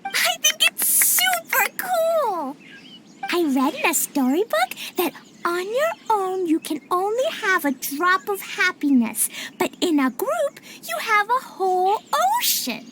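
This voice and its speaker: A woman's voice: very high-pitched, sing-song, measured pace, few pauses, background noise, heavily acted. A woman voicing a cheerful, innocent little girl adventurer with a childlike voice in a video game. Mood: excited. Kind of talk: animated game cutscene acting.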